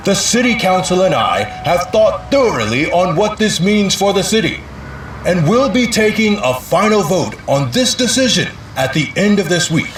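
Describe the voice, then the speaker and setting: A man playing a polished, evasive city mayor in an audio drama, with a measured, rehearsed politician's delivery. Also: sits high, sing-song, echoey room, over music, poor audio. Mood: upbeat, official.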